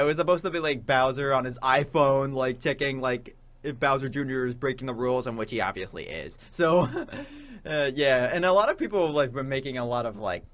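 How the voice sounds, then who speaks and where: A young man co-hosting a casual radio talk show, chatting in an excitable, rambling way and often saying "like". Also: medium pitch. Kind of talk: casual banter about games and memes.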